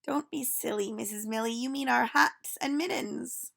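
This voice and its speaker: Woman doing a young storybook pupil, high-pitched, giggly, exclaiming, emphatic corrections. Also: moderate pace, gravelly, fairly bright tone, full character voice. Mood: playful protest. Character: chorus of giggly young schoolchildren gently correcting their teacher. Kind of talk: storybook read-aloud for children.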